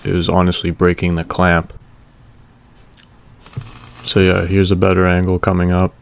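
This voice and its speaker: A man casually narrating a welding repair video in a relaxed, matter-of-fact way. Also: deep voice, normal speed, vocal fry.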